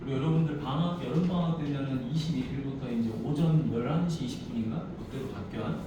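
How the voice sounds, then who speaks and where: A man's voice: medium pitch, quick pace, highs cut off. A man teaching a math class, walking students through steps and often stopping to check that they follow. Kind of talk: classroom math lesson with casual chat to students. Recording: distant mic, echoey noisy classroom.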